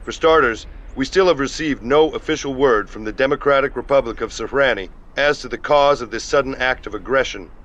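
An actor playing a stern, guarded army captain who answers in an official, measured way in a game. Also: male voice, mid-range voice, a bit bright, lo-fi sound, close to an everyday voice. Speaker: army captain being interviewed. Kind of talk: formal interview answers in a game cutscene.

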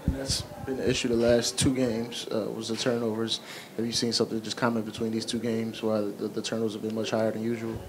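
Fast-talking male reporter, flat delivery. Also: on the low side, noisy background. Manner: rapid, rambling questions. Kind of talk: press conference questions.

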